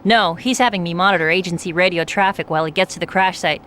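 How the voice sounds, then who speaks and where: Lady playing a worried radio ally, bright voice, faint static. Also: on the low side, close to an everyday voice. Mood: concerned. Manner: concerned, brisk. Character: concerned female ally on the radio, loyal and quick.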